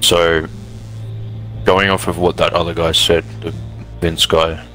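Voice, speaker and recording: A gruff male camp boss in a zombie game skit, acted by a man, very low in pitch with a flat, hard-edged, forceful tone. He is guarded and blunt, and faint music runs underneath. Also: unhurried, low-quality recording. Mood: guarded, probing. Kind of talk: improvised roleplay acting in a video game skit.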